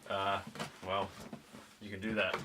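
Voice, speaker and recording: A man's voice: low-pitched, monotone, a little slow. A man chatting casually and off the cuff as he hosts a product unboxing, a little hesitant. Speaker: YouTube product reviewer and host. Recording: clear background noise, clicks.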